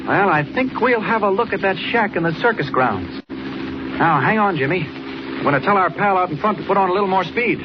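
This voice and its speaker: An actor with an American accent playing a brisk, resolute investigator hero in a 1940s radio serial, urgent and authoritative. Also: male voice, high voice, big pitch swings. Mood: urgent, decisive. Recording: muffled, very noisy old radio recording.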